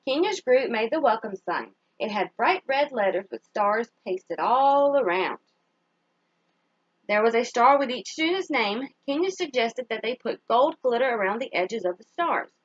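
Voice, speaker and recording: A woman with a fairly high, very lively voice reads a children's story aloud like a teacher. She stops to ask her young listeners simple questions. The recording is dry and a bit dull. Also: no echo. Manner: pauses to put simple questions to young listeners and praise their answers. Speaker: teacher reading a picture book aloud to children.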